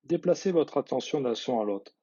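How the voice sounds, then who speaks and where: A man guiding a yoga nidra relaxation, reading measured instructions in a husky voice with soft phrase endings. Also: medium pitch, animated, highs cut off. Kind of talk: guided relaxation meditation, read instructions.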